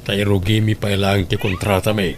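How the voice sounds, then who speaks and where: A man's voice: lowish voice, little pitch movement, normal speed, noisy background, lightly acted. A man playing a hard-working husband, irritable yet reassuring, in an audio drama, with an Ilocano Filipino accent.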